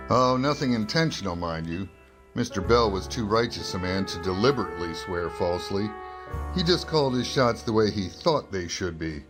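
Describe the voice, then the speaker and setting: Man acting hard-boiled newspaper reporter, low voice, dry, clipped, world-weary, radio detective drama, music behind. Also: lightly acted. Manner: clipped, wry, matter-of-fact.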